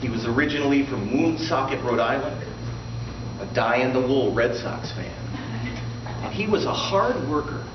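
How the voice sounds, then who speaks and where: A man telling a comic tall tale to a live audience in a theatrical manner, pausing deliberately for the punchlines. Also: mid-range voice, expressive. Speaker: storyteller in a tall-tale contest. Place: indoor room before a live audience, recorded from afar. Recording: roomy, distant, muffled live recording with loud noise.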